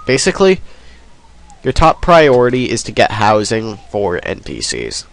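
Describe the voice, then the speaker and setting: A man's voice: on the low side, wildly swooping pitch, measured pace. A man with a cold, sniffling as he rambles through casual let's play commentary. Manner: rambling, self-deprecating, many ums.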